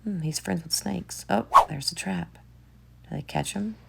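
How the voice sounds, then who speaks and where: Female teacher, storybook read-aloud; low, gravelly voice with lively swings, unhurried; hushed asides. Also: very noisy. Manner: expressive, drops to hushed whispered asides. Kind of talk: children's book read-aloud.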